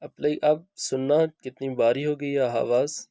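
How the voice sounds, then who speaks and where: A man's voice: mid-range voice, steady tone, moderate pace, mellow, no room sound. A man hosting a how-to tutorial, speaking instructionally and repeating each step as he goes. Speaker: video host giving an app tutorial.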